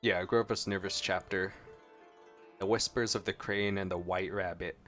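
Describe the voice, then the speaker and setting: A man's voice: deep voice, steady tone, a little slow, fairly bright tone. A man giving casual gameplay commentary as a streamer, thinking aloud in an informal, trailing way. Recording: game music behind the voice.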